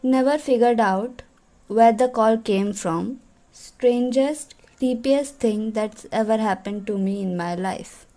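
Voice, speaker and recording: Slow, mellow-voiced woman reading a story. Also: on the high side. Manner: unhurried storytelling with small laughs. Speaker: podcast co-host. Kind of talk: reading listener stories aloud.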